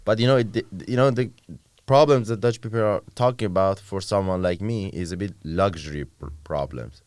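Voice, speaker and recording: A young student guest on a podcast, chatting in a relaxed way and often chiming in to agree. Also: male voice, fairly low pitch, moderate pace, smooth, dry recording, studio quality. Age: young adult. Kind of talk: casual chat.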